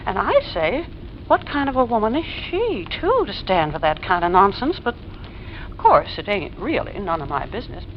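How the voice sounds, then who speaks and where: Woman acting a gossipy, animated old-radio landlady. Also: medium pitch, moderate pace, close to an everyday voice. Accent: American. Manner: colloquial, homespun, chatty. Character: nosy, gossipy, folksy landlady, plain-spoken and skeptical. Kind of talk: 1940s radio drama acting. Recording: dull, noisy old radio recording.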